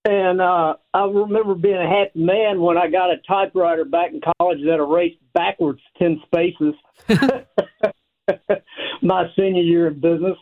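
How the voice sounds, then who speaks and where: Older american guy calling in on a thin phone line, higher pitched voice, folksy casual chat. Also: fairly even intonation, normal speed. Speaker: radio show caller. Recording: narrow tinny phone line.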